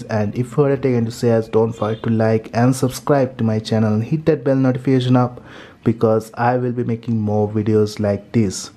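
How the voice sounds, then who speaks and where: A man's voice: medium pitch, dark tone. A man narrating a step-by-step tech tutorial in a methodical, repetitive way.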